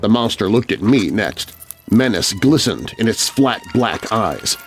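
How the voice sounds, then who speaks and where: A man narrating a scary children's story in an expressive, suspenseful, scripted style. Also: on the low side, a little slow, faint music behind. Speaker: narrator, voice artist.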